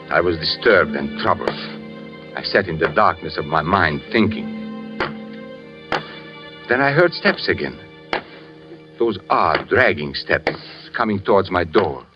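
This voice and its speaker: A man's voice: sits low, very animated, a little slow, long pauses. An actor playing an anxious, troubled man in a 1940s radio suspense play, hesitant and dramatic, on an old radio recording. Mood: haunted, horrified. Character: anxious, troubled man with a cane narrating a horror. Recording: muffled old radio recording with loud music.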